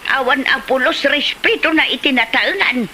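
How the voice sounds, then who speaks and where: A woman's voice: sits high, moderate pace, sharp tone. A woman playing an indignant, scolding elderly village woman in a comedy drama, with an Ilocano accent. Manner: scolding, exclamatory. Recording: slight background noise.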